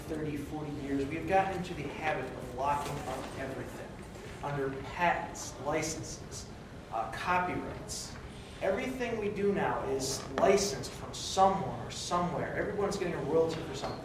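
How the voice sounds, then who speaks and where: Male presenter, thirties, informal and halting, somewhat higher-pitched, recorded from a distance in an echoey meeting room. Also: lively intonation. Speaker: presenter at a meetup. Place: meeting room, standing at a podium. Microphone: distant camera. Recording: very echoey room, distant noisy camera mic.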